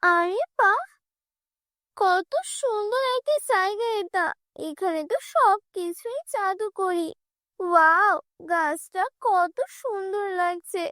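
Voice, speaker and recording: A woman voicing a wide-eyed, excitable poor village girl who exclaims in wonder in a fairy-tale cartoon. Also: very high-pitched, slow, dry recording, studio quality, exaggerated voice. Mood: amazed.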